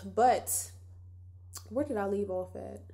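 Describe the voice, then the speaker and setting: A woman's voice: mid-range voice, sing-song, takes it slowly, gravelly, dark tone. A young woman with a nasal voice hosting a casual solo podcast, chatty and reflective, talking straight to her listeners. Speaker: podcast host.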